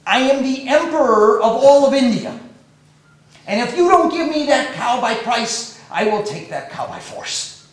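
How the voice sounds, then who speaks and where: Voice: mid-range voice, big pitch swings, a little slow, pitched below the performer's own voice. A storyteller voicing a proud male emperor who makes insistent, commanding demands, in a very echoey room. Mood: demanding.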